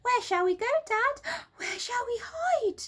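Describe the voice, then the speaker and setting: An excitable little monkey youngster, acted by a woman reader, squeals in a very high storybook voice. The delivery is childlike and eager. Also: slow, heavily acted. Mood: excited.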